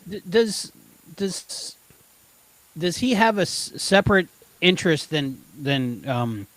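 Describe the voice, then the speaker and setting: A man co-hosting a casual review podcast, speaking haltingly as he searches for words and stumbles over the starts of his phrases. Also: a little high, big pitch swings, dry recording, poor audio. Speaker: podcast co-host.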